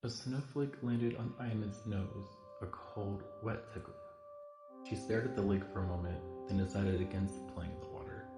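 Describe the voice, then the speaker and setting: An actor playing a gentle storybook narrator in a video game, telling a tale in a measured way. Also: male voice, on the low side, fairly even intonation, close to an everyday voice. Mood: tender, whimsical. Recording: echoey, music behind the voice.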